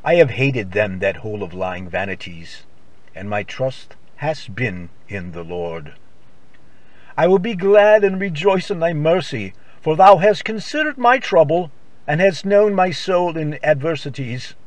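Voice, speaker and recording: Slow, dramatic man reciting scripture. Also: a little high, expressive, no echo. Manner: solemn, declamatory, archaic scriptural delivery. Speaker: preacher. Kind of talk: dramatic psalm recitation in old-time gospel radio sermon style.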